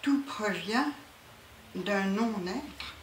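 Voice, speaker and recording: A poet reciting solemnly and deliberately at a literary event, pausing between phrases. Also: medium pitch, expressive. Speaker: poet reading at a book event. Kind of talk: slow, solemn poetry recitation. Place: indoor literary event room. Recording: echoey room with background noise.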